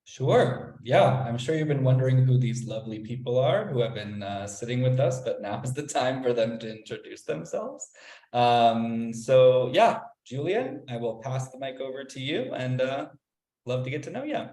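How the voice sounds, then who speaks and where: A man's voice: mid-range voice, lively intonation, normal speed, warm tone. Upbeat male moderator in a roomy space. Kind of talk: moderating an online panel. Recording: roomy room sound.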